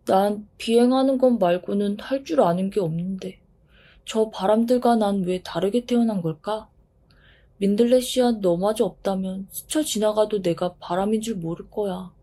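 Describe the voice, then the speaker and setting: A woman's voice: medium pitch, normal speed, mellow, close to an everyday voice. A woman voicing a small, sensitive wind in a storybook reading, earnest and emotional. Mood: downcast. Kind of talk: storybook voice acting.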